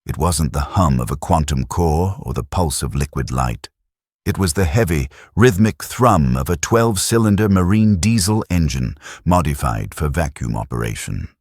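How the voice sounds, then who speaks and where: Deep-voiced audiobook narrator, swinging dramatic intonation. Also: male voice, slightly rough, dry recording, clean studio sound.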